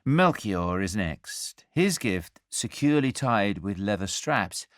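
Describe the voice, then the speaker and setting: A man narrating a scripted story as a dramatic storyteller, with wry, measured and deliberate phrasing. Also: lowish voice, expressive, dry recording, studio quality. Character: angel narrating the nativity story, wry and urbane.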